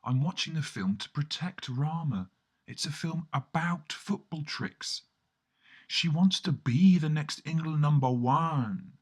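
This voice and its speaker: A man's voice: on the high side, animated, slow pace, voice pushed up. A man voicing a mysterious, defiant female guardian sprite in a comic radio story. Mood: earnest.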